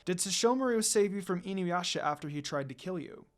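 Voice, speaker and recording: Guy reading a noble demon mom in a story, formal and probing but soft underneath, highish for a male voice. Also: animated, a little fast. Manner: formal, questioning.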